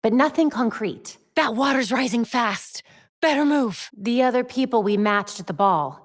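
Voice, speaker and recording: A woman's voice: fairly high pitch, expressive, normal speed, no room sound, clean studio sound. An actress voicing a witty, determined young female vigilante and detective in scripted video game lines, with a wry, earnest delivery. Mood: urgent, intense. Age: young adult.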